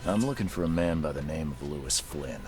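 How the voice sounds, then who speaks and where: An actor playing a blunt, world-weary private investigator in a video game, gravelly and measured in delivery. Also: male voice, very deep voice, animated, over music. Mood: dry, probing. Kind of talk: video game dialogue acting.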